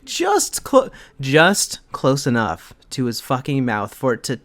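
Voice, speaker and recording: Slow, swooping man, amused comedic reader. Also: mid-range voice, huge pitch swings, a bit bright. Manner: amused, repeats phrases haltingly. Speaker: co-host reading fan fiction aloud. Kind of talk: comedic reading and casual commentary. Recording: uneven volume levels.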